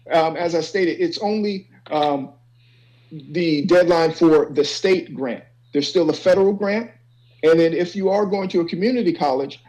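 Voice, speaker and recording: A man with a fairly high-pitched voice speaks as a guest on a panel. He explains in an informal, instructive way, often checking that listeners are following. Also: darkish tone, rough recording. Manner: instructive, checks understanding with "okay" and "you know". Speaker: college financial aid advisor, guest.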